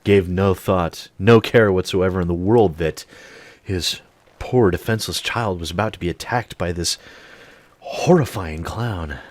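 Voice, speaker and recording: A man in his late thirties, an animated storyteller who swears freely, telling a personal story straight to the mic. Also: deep voice, unhurried, dry recording. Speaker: channel host. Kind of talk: storytime monologue.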